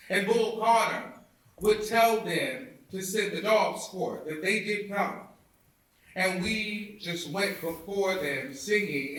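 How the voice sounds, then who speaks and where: A man reading a historic speech aloud as oratory, with emphatic, deliberate preacher-like phrasing. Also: high voice, sharp tone. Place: indoor theatre, recorded from afar. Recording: echoey hall, distant mic.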